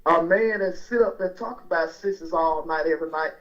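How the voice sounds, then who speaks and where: A pastor from Alabama, calling in to a radio show and angrily threatening the host. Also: male voice, a little high, fairly quick, a bit bright. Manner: angry, threatening, repetitive. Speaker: caller, pastor. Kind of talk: angry phone call to a radio show. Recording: dull lo-fi phone-in sound.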